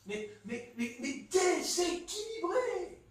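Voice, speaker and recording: A man with a Marseille French accent preaching a sermon in a church hall, telling stories in a playful, animated way. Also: very high voice, slow. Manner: animated, playful storytelling with chuckles. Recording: echoey hall, mic far off.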